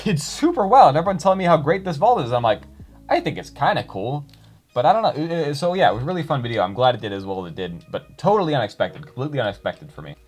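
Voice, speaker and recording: A young man chatting casually to his viewers, rambling with many fillers and restarts. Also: mid-range voice. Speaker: YouTuber reading comments.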